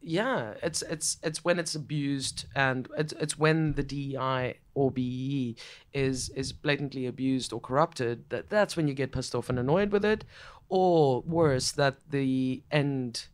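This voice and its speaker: A woman's voice: a bass voice, a little slow. A middle-aged South African woman hosting a casual interview chat, speaking frankly, swearing now and then and repeating words as she thinks. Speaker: podcast host. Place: room in a coworking space.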